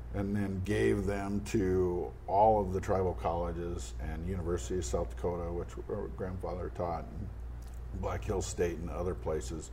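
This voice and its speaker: A man with a low, warm voice talks through a family history in a thoughtful, earnest way. He often backs up and restarts phrases as he thinks. A faint background hiss sits under the recording. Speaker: interview guest. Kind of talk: conversational storytelling.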